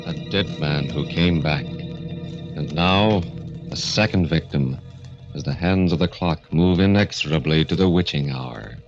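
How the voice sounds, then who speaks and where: A male announcer with an ominous, measured delivery introducing scenes of an old radio drama. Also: extremely low pitch, soft background music. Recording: muffled old radio broadcast.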